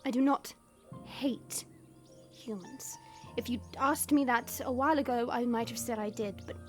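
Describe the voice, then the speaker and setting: A woman's voice: a little high, moderate pace, a little mellow, faint music behind, lightly acted. A woman playing a proud, haughty dragon princess who speaks formally in an audio roleplay, as if lecturing lesser beings. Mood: grave, scornful.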